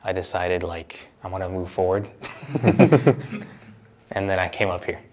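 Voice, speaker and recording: A young man from the United States chatting casually in an interview, relaxed and often laughing as he talks. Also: low voice, a little slow. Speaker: interviewee, university student.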